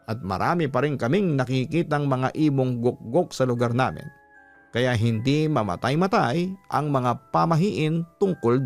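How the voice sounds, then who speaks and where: A man with a Filipino accent hosting a story program, reading in a scripted narration style. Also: medium pitch. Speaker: host reading a listener's horror letter. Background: music.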